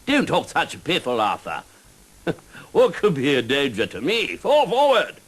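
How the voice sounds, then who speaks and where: Storybook knight, played by male narrator: English, high, animated, pompous blusterer, boastful yet cowardly. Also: measured pace, slightly rough, exaggerated voice. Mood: pompous, impatient.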